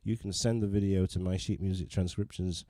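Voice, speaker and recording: A man's voice: low voice, steady tone, fairly quick, dark tone, dry recording, clean studio sound. A man hosting a casual livestream from his living room, rambling and self-deprecating, with many restarts as he chats with viewers. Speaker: livestream host and pianist. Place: living room, digital piano.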